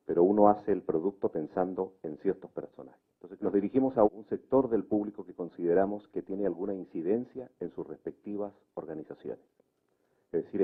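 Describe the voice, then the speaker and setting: A man explaining his view in a public debate, deliberate, restating and clarifying each point. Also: low voice, breathy edge. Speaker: debate participant. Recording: muffled narrow phone-like sound.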